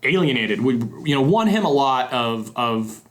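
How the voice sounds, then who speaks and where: A man's voice: medium pitch, lively intonation, a little slow, a bit bright. Young man hosting a casual, rambling interview. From Southern United States. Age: young adult. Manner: rambling, self-correcting. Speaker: podcast host, interviewer.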